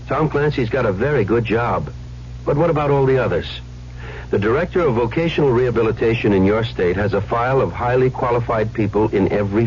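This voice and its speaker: A man's voice: fairly low pitch, a little mellow. A man reading a scripted, persuasive public service announcement for radio. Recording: dull, faintly noisy old radio recording.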